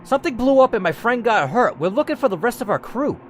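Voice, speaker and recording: A man's voice: very high-pitched, big pitch swings, fast, faint music behind, voice pushed up. A man playing a frightened but level-headed logger in a game story, earnest and placating. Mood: earnest, wary.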